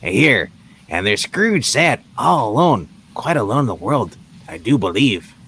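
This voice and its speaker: Man playing a gravelly, chatty husband. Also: mid-range voice, sing-song, unhurried, fairly bright tone, a little hiss or hum. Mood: gossipy, sombre. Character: chatty husband gossiping about a lonely old miser. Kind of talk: amateur live play reading.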